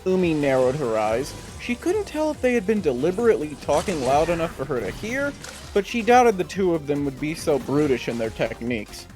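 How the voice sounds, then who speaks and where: A man's voice: fairly high pitch, very animated, brisk, a little mellow, soft background music. A man narrating a fan fiction story aloud in a live read-along.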